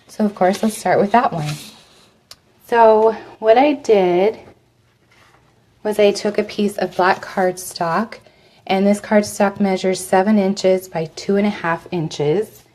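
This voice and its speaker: A woman with a cold and a slightly raspy voice, chatting through a live step-by-step craft demo, her voice dropping off as she leans away from the mic to work. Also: mid-range voice, a little mellow. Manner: chatty, step-by-step instructions with fillers. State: hoarse from a cold. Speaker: craft host.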